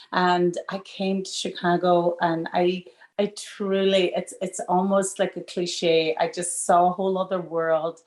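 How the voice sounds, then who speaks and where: A woman, a lawyer telling stories warmly on a video call from home. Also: fairly low pitch, reverberant. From Northern Ireland. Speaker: estate planning lawyer, guest. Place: home room with shelves. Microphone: video call webcam.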